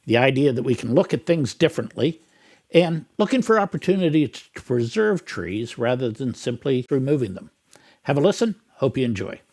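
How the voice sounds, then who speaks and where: A man's voice: medium pitch, very animated, moderate pace, darkish tone. A man hosting a podcast, giving the intro and welcoming a guest in a friendly way. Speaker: podcast host.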